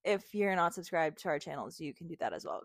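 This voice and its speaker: A woman's voice: fairly low pitch, lively intonation, fast, no room sound, studio quality. A young American woman co-hosting a podcast, chatting casually in a bubbly, giggly way with girl-talk slang. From Miami, United States. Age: young adult. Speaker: podcast co-host. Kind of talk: casual chat.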